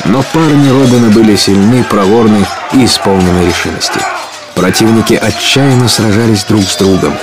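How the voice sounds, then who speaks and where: A man's voice: lowish voice, normal speed, music in the background. A man narrating an adventure audio play as a storyteller, scripted and dramatic.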